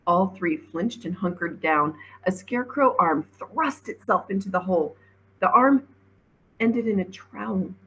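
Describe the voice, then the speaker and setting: A woman, a teacher reading a storybook aloud with expressive narration. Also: medium pitch, a little slow, breathy edge, low-quality recording.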